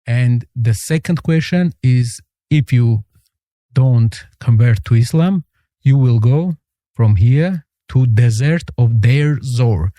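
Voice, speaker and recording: A man with an Armenian accent, a guest answering interview questions in earnest, halting English. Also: mid-range voice, expressive, warm tone, no echo, clean studio sound. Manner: earnest, halting, searching for words.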